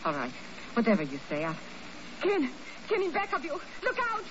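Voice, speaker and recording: A woman's voice: high-pitched, huge pitch swings, moderate pace. Scared pleading young lady in an old radio thriller, voice swoops around, really noisy muffled recording. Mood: anxious, then alarmed. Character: frightened, urgent young woman in a spy thriller. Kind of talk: 1950s radio drama acting.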